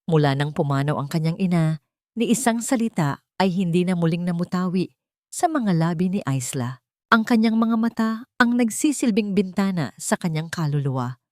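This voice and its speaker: A woman narrates a scripted first-person dramatic story in a solemn, measured, emotive way. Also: on the low side, animated, darkish tone, no room sound, studio quality.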